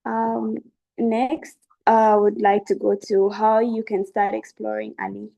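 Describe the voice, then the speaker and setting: A woman's voice: medium pitch, level tone, unhurried, gravelly, mellow, low-quality recording. A young woman giving an informal, encouraging educational webinar talk as a student speaker. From South Sudan. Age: young adult.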